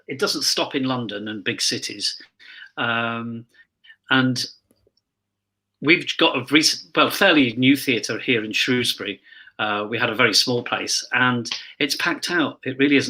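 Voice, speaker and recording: Male panel guest, forceful bright tone with a rasp, mid pitch, conversational. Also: rough recording. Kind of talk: casual discussion.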